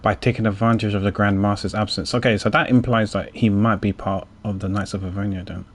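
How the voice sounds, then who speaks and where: Lowish-voiced man casually commenting on a game. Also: fairly even intonation, fairly quick. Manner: musing aloud, informal. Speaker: game streamer.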